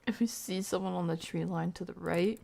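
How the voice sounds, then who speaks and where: A woman streamer giving casual, chatty horror game commentary, muttering to herself in a breathy voice that often drops to a whisper. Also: lowish voice, a little fast, a little mellow.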